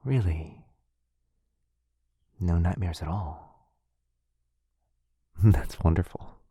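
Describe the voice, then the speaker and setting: Guy doing a sweet centuries-old vampire boyfriend, asmr roleplay, low soft-spoken voice, dry close sound, reassuring. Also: drawn-out words, slightly rough, dry recording, exaggerated voice. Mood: gently concerned. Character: gentle, caring centuries-old male vampire lover.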